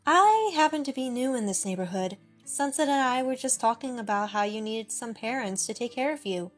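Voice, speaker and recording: A woman's voice: fairly high pitch, animated, fairly quick, faint music behind, close to an everyday voice. A woman voicing a fretful adoptive mother who scolds and soothes in turn, in an amateur visual novel dub. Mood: warm. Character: anxious doting adoptive mother, fussy and tender.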